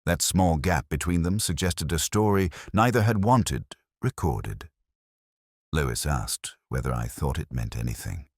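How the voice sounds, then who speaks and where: A man narrating a literary detective story in the first person, in a measured, reflective manner. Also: very deep voice, husky, dry recording, studio quality. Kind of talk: first-person scripted mystery narration, literary audio drama.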